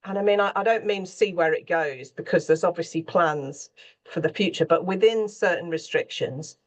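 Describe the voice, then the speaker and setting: A woman's voice: lowish voice, normal speed. A woman speaking as a scriptwriter in a panel conversation, chatty and anecdotal, wandering between thoughts. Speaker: radio drama scriptwriter, panel guest.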